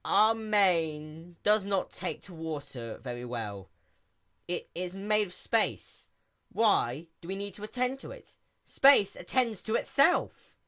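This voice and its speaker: A woman acts out a regal pony princess from a cartoon-style story. She speaks in grand, formal phrases with a bright, forceful edge, swooping widely in pitch. She is haughty and quick to outrage. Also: big pitch swings, full character voice. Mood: defensive. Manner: grand, formal royal diction.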